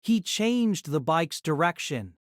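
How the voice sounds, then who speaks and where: Low-voiced woman narrating a story. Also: takes it slowly, no echo, studio quality. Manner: steady storytelling, also voices the male lead. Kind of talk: scripted novel narration.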